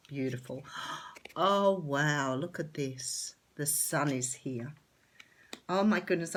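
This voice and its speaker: This is a woman with an Australian accent, doing a casual tarot reading to camera. Her voice sits low, and it sounds nasal and a little rough from a cold and a sore throat. She talks in a chatty, enthusiastic way, filling pauses with plenty of ums. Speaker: tarot reader.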